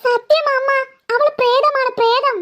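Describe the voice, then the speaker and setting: A woman's voice: extremely high pitch, steady tone, normal speed, fairly bright tone. A woman voicing an easily frightened, insistent young girl who pleads and stammers in a comic horror skit.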